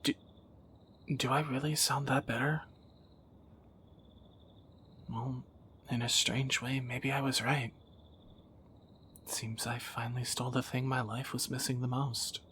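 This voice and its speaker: A man's voice: mid-range voice, little pitch movement, unhurried, long pauses, pushed and bright, slight background noise. A man playing a tender, wry young thief in a romantic audio roleplay, teasing and intimate, often dropping toward a whisper. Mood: tender, pleading. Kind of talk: romantic audio roleplay, one side of a dialogue.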